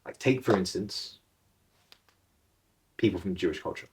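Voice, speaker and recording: A man's voice: low voice, unhurried, background noise. A young man from England, chatting casually as a podcast co-host and rambling with restarts as he searches for words. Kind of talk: casual chat.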